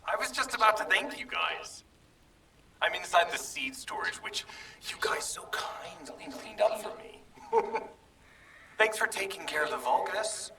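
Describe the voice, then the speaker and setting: A man's voice: high voice, animated, measured pace, long pauses, pushed and bright, whispered, exaggerated voice. An actor playing a taunting, embittered young male traitor in a game cutscene, with a mocking, theatrical delivery. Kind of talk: dramatic game cutscene acting. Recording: echoey, distant-sounding call.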